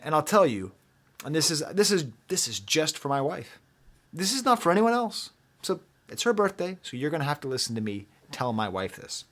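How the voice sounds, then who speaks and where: An American man hosting a casual album review, chatty and often laughing, swinging from low murmurs to excited bursts. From United States.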